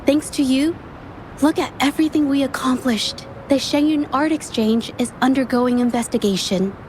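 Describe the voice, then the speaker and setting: An actress playing a shy, earnest young woman painter in a game, speaking softly and stammering when flustered. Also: high-pitched, normal speed, slight background noise, natural voice, low-quality recording. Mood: grateful. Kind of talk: game voice acting. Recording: game audio with faint music underneath.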